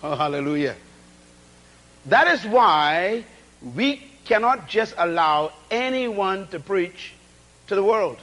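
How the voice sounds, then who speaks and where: A man with a very high, lively voice preaches a sermon, rising and falling in pitch as he hammers his points. He repeats key phrases, throws out rhetorical questions and calls for responses from the congregation. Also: slow. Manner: call-and-response, rhetorical questions, emphatic repetition; swings between shouted emphasis and low asides.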